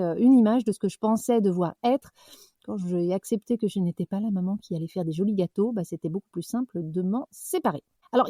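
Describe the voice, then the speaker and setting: A young woman hosting a podcast, reflecting out loud in a loose, conversational way with fillers and self-corrections. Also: fairly high pitch, no echo, clean studio sound. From French-speaking, living abroad. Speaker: podcast host. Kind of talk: personal reflective monologue.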